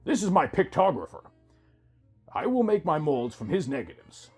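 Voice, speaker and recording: Man voicing a cold, husky creature doctor. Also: fairly high pitch, normal speed, soft background music, full character voice. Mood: detached, businesslike. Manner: flat, clinical, impersonal. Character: thin grey cold, detached fantasy rock-creature doctor. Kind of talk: storybook character dialogue within narration.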